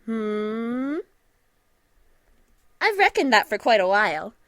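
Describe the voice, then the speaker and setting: Breezy, energetic teenage girl, acted by a woman, high and very animated, folksy, audio drama. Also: slow, no room sound, studio quality, heavily acted. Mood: playful, knowing. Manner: energetic, folksy, laughs easily. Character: cheerful, breezy, perceptive teenage schoolgirl. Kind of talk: audio drama character acting. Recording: music behind the voice.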